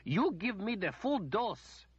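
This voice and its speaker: A man voicing a haughty, shrill noblewoman who shrieks and whines petulantly. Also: very animated, slow, slightly rough, no echo, lo-fi sound, heavily acted. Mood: imperious.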